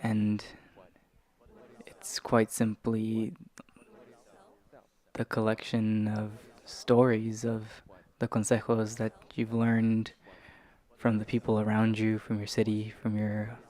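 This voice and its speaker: A man speaks slowly in a rather low voice. His delivery is very even, with measured, poetic phrases as he reflects. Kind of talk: reflective personal talk.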